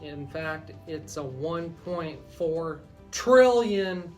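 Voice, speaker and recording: A man's voice: a little high, lively intonation, extremely slow. American man hosting talk radio over music. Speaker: radio host and estate planning attorney. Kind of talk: live talk radio discussion.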